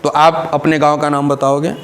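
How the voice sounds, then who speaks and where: Man, classroom lecture, asks and answers himself, slightly high voice, faint hum behind. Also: flat delivery, moderate pace. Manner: repeats key terms, mixing English and Hindi. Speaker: teacher.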